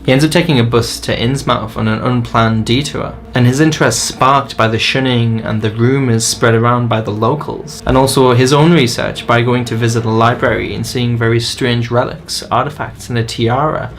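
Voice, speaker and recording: A man's voice: medium pitch, steady tone, normal speed, few pauses, a little hiss or hum. A man narrating a scripted book review in an enthusiastic, opinionated way. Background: faint music.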